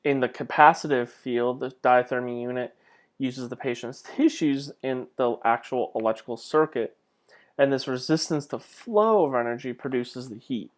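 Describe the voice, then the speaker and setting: A man's voice: medium pitch, normal speed. A man giving an informal slide lecture in a conversational way, with rhetorical questions and self-deprecating asides. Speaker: lecturer, clinical instructor.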